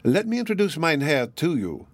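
A man's voice: a little high, big pitch swings, normal speed, dry recording, pitched above the performer's own voice. A man voicing an eager, dreamy, well-bred young lady in a storybook, with an earnest and genteel manner. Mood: gracious.

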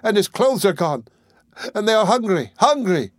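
A man's voice: high-pitched, big pitch swings, normal speed, dry recording, studio quality, pitched above the performer's own voice. A man reading an audiobook, voicing a desperate impoverished mother who screams accusations in a frenzy. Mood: frenzied, despairing. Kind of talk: audiobook character voice.

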